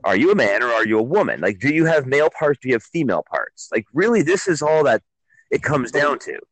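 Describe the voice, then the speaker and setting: A man's voice: medium pitch, big pitch swings, quick pace, dry recording, low-quality recording. Blunt Canadian man on talk radio. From Ontario, Canada. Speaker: call-in guest on a radio show. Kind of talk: casual opinionated chat.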